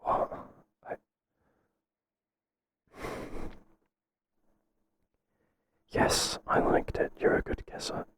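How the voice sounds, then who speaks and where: A man playing a stern but flustered boss in an ASMR roleplay, speaking in a halting, breathy whisper. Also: natural voice. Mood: reluctant, tender. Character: stern, flustered male boss resisting an employee's advances. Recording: clearly noisy, hissy background.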